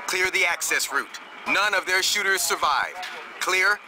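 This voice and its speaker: Tough, high-pitched military commander, noisy. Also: male voice, measured pace. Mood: urgent, commanding. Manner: forceful, clipped orders. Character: tough, urgent male military mission commander. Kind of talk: scripted video game mission briefing.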